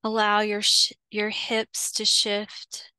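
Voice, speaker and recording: A woman leading a guided visualization as a meditation teacher, soothing and unhurried, leaving long pauses between short phrases. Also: mid-range voice, completely flat delivery, pushed and bright, clear, resonant tone, no echo, poor audio.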